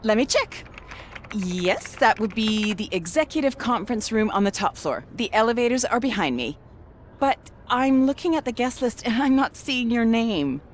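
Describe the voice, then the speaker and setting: A woman's voice: a little high, animated, moderate pace, slight background noise, natural voice. An actress playing a polite woman receptionist, speaking in a businesslike way.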